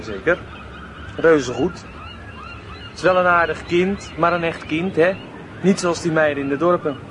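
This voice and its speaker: Cocky teenage village boy, fairly high voice, blunt, nonchalant, radio play, clear street noise. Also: a little slow, a bit bright. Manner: blunt, offhand. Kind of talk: radio drama acting.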